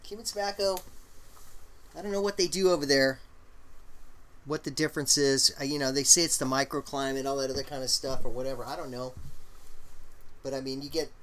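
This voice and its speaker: A man hosting a casual live stream, rambling along in a halting way with lots of fillers and the odd throat clear. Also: medium pitch. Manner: relaxed, opinionated talk about smoking.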